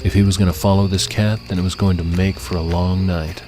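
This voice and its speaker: A man narrates dramatic fiction in a low, level voice with little rise or fall. His delivery is measured and suspenseful, with music clearly behind him. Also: level tone. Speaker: audiobook narrator.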